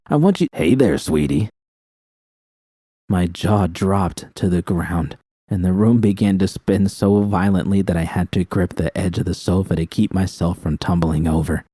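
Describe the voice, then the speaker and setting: A man's voice: low-pitched, warm tone, no echo. A man reading first-person fiction as an audiobook narrator, with dramatic storytelling delivery.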